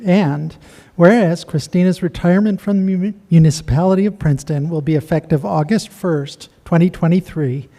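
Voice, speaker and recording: Man reading a resolution, mellow and roomy. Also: a little high, moderate pace. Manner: formal reading with small slips. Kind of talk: reading a formal resolution aloud. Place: council meeting room. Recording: reverberant meeting room.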